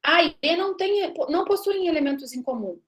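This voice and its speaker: A woman's voice: very high-pitched, expressive, brisk. A woman in her thirties from Brazil giving a live classroom lecture, chatty and teasing with her students, often checking in with them. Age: 30s. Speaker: university lecturer.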